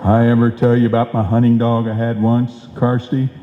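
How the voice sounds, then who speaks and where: A man's voice: medium pitch, completely flat delivery, moderate pace, slight background noise, close to an everyday voice. A man playing a blustering, folksy husband and tall-tale-telling bumbler in an old-time radio comedy, with slangy, exclamatory talk. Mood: boastful. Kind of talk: old-time radio comedy acting before a live audience. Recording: dull, echoey live hall recording.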